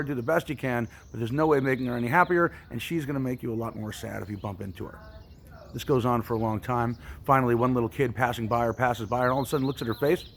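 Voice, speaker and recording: A man's voice: mid-range voice, vocal fry, fairly bright tone. A man telling religious stories to young people as a teacher, casual and colloquial, acting out his examples. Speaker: youth program storyteller and teacher. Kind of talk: animated religious storytelling for children.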